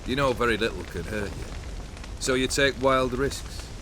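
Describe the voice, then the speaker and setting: A man's voice: mid-range voice, moderate pace, background noise, close to an everyday voice. An actor playing a cold, world-weary immortal nobleman in a video game, speaking with arrogant, sardonic, archaic phrasing. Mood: wry, world-weary. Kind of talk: video game character acting.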